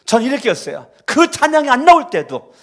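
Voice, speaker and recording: A man preaching a sermon as pastor in a church, moving between quiet storytelling and loud, fervent exhortation. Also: very high voice, big pitch swings, a little fast. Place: church sanctuary.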